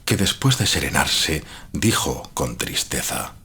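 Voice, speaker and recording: Casting: male narrator for gothic horror, deep and heavily rasping, grave, literary, deliberate. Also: big pitch swings, pushed and bright. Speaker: storyteller.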